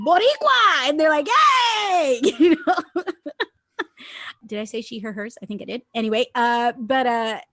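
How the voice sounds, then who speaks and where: A woman's voice: high voice, a little slow, dry recording. A woman from Puerto Rico whose first language is Spanish, telling stories animatedly and laughing often as a podcast guest. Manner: animated storyteller, laughs often, swears casually. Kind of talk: casual conversation.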